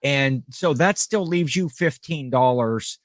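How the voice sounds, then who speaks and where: A man's voice: mid-range voice, slow pace, no echo. A middle-aged man co-hosting a podcast, telling a story in casual chat and acting out the people in it. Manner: storytelling, acts out quoted people. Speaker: podcast co-host.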